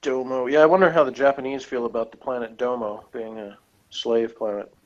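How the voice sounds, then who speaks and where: A man with a mid-pitched voice talks casually as a review co-host. He rambles, breaking off into skeptical asides between bits he reads out. The recording is slightly dull and lo-fi. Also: steady tone, a little fast. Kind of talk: casual chat while reading out and reacting to review notes. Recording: dull, lo-fi sound.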